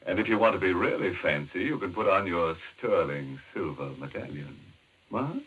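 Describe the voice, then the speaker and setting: Male horror host, low-pitched and very animated, mock-ghoulish and jokey, muffled vintage radio with faint music. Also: moderate pace, breathy edge. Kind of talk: macabre, jokey 1940s radio hosting.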